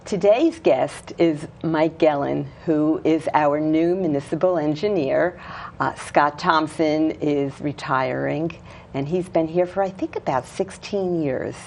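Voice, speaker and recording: A woman's voice: low voice, a little slow, vocal fry, a little mellow. A woman hosting a local talk show, interviewing her guest with an eager, gushing manner. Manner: enthusiastic, gushing. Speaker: host. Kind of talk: casual interview chat.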